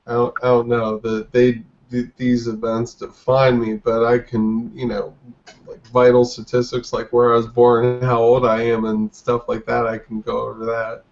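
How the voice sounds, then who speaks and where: A man in his forties from the United States talking casually as an interview guest, in a halting, reflective way. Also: medium pitch, completely flat delivery, darkish tone, highs cut off. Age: 40s. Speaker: podcast guest, artist.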